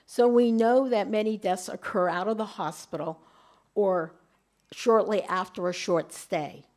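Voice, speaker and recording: Brief: middle-aged woman, light voice, halting presenter who repeats words, conference room talk. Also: medium pitch, a little mellow. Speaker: invited speaker at a webinar.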